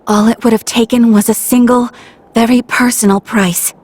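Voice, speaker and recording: An actress playing a gentle but determined young heroine in a video game, speaking earnestly. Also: female voice, a little high, steady tone, moderate pace, slightly rough. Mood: earnest, firm.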